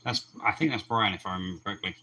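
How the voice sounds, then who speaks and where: Man, very low voice, relaxed teasing co-host on a home stream. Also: rapid-fire, slight background noise. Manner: easygoing, teasing, repeats words when starting. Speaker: co-host of an aquarium livestream. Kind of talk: casual chat.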